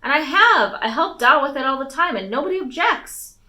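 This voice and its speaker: A woman's voice: sits high, sing-song, a little fast, pushed and bright, voice pushed up, exaggerated voice. A woman voicing a headstrong, argumentative teenage noble daughter in a storybook, insistent and protesting. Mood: frustrated.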